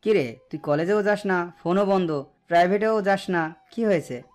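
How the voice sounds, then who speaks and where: A man's voice: high voice, normal speed, darkish tone, faint music behind, lightly acted. A man playing a concerned young college friend in an audio story.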